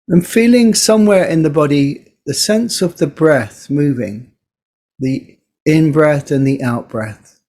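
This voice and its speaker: Male meditation teacher, low-key body scan guide; very slow pace, gentle delivery, leaves space between phrases. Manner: gentle, unhurried, pausing between short phrases.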